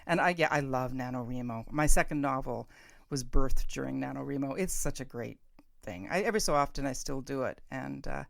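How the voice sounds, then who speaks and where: A woman's voice: extremely low pitch, fairly quick, vocal fry, no room sound, studio quality. A middle-aged woman hosting a casual interview, informal, encouraging and enthusiastic in how she talks. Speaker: podcast host and interviewer.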